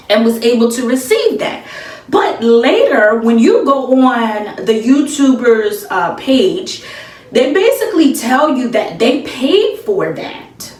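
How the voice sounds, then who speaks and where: An American woman in her thirties giving emphatic, casual, opinionated commentary to camera, repeating phrases for effect. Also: a little high. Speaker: YouTube commentator. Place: small indoor room with bare walls. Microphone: camera a few feet away. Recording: echoey room, distant mic.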